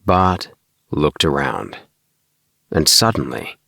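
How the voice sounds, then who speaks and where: Man, audiobook reader, low voice, slow unhurried delivery, scripted bedtime narration. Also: big pitch swings, creaky, sharp tone, breathy edge, no room sound, clean studio sound.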